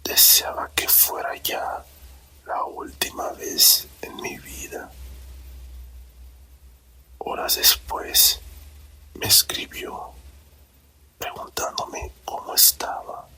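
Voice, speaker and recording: A man softly whispering a romantic story in a slow, deliberate ASMR narration, close to the microphone. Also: rough recording. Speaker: storyteller reading own written story.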